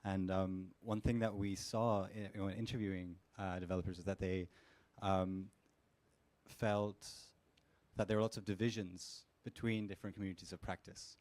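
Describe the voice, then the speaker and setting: A man speaking as a researcher on a conference panel, measured in pace and dotted with "you know" and "um". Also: low voice, flat delivery, darkish tone, no room sound. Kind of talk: panel discussion answer.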